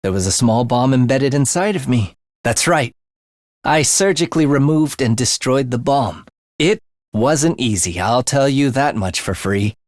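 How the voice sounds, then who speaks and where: Man acting a wildly expressive, morbid young assassin. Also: medium pitch, unhurried, no echo, studio quality, lightly acted. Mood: sly, proud. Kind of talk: English video game voice acting.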